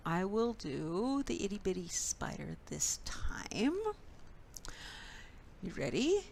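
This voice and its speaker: Female storytime reader for small kids, unhurried pace with a creaky edge, clear instructions and dramatic storybook phrasing. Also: mid-range voice. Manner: simple, instructive phrasing addressed to small children. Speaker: children's librarian leading storytime. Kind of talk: storytime for young children, with rhymes, songs and read-aloud. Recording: steady background noise.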